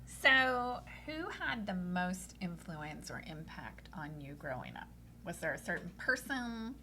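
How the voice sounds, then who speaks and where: A woman hosting an interview with a bright, sharp tone and a very animated pitch that leaps around as she asks questions and encourages her guest. A faint ambient hiss lies behind her voice. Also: unhurried. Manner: warm, responsive, laughs along. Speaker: podcast host. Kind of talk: casual interview chat.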